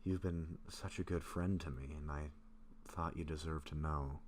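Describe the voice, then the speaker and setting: A man acting a gentle, bashful, sick vampire in an intimate roleplay audio, speaking softly and haltingly to a close friend. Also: extremely low pitch, steady tone, slight background noise. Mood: nervous, confessing. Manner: soft-spoken, hesitant, halting with pauses.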